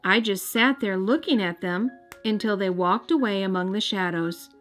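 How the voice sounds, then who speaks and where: An American woman narrates a children's storybook in a gentle, careful way. Her voice sits at a middle pitch, with music playing underneath. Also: moderate pace. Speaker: children's storybook reader and host. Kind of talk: scripted read-aloud narration.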